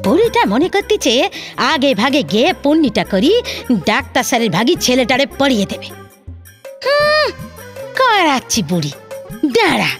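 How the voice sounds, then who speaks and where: A boastful younger rustic housemaid acted by a woman in an audio drama, argumentative and sly. Her voice is extremely high and animated, with a colloquial rural West Bengal accent. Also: normal speed, long pauses, over loud music, full character voice. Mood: scheming.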